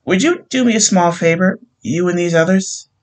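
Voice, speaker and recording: A man voicing a grieving young wife who is sweetly persuasive, soft and overly polite. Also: high voice, moderate pace, voice pushed up, lightly acted. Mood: coaxing.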